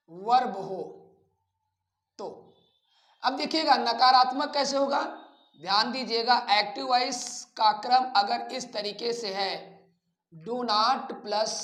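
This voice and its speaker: Indian accent, grammar teacher, slow delivery, didactic question-and-answer manner, echoey room recording. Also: medium pitch, fairly bright tone. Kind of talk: instructional lecture mixing Hindi and English terms.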